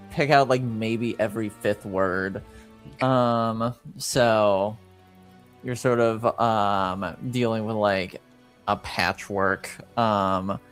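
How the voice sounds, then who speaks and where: A man runs a tabletop game as game master, improvising his narration in a smooth, clear, fairly low voice. His phrasing is halting and hesitant, and he takes his time, with faint music underneath.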